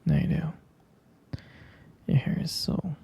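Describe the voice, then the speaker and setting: A man playing a tender, adoring boyfriend in an intimate romantic ASMR roleplay, whispering softly, gently and hesitantly. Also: takes it slowly, lightly acted. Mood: tender, sincere. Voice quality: soft, breathy whisper with gravelly creak.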